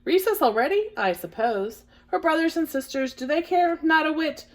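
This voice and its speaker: A woman's voice: high voice, animated, moderate pace, voice pushed up. A woman voicing a chubby, self-pitying rain puddle in a children's storybook, complaining in a wry, theatrical way. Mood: put-upon. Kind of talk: children's storybook first-person character.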